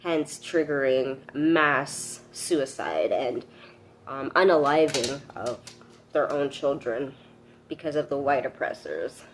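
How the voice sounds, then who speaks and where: Low-pitched, lively woman reading aloud. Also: slow, slight background noise. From Canada. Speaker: host reading a book aloud with commentary. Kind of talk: read-aloud with informal commentary.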